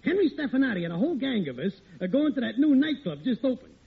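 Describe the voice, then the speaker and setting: An actor playing a sly, wisecracking married workmate who cajoles in slangy 1940s radio sitcom style. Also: male voice, extremely high pitch, very animated, fast, slightly rough, exaggerated voice. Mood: cajoling. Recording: tinny old radio recording.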